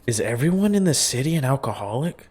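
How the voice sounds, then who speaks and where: A man's voice: mid-range voice, big pitch swings, fast, a little mellow, breathy edge. A young man reading a visual novel aloud and reacting to it with informal, slangy commentary. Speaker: gaming video host. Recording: music playing behind.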